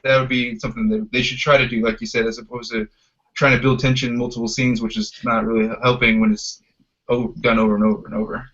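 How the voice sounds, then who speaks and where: Mid-pitched man hosting casually, muffled lo-fi sound. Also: flat delivery, fast talker, fairly bright tone. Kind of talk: casual panel discussion. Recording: dull lo-fi podcast audio.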